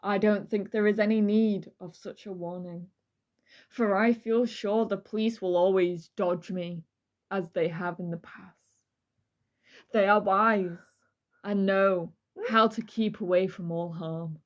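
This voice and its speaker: A woman's voice: mid-range voice, steady tone, measured pace. A woman co-hosting a true crime podcast, switching between dramatic reading and casual, irreverent banter. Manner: playful, irreverent, swears casually.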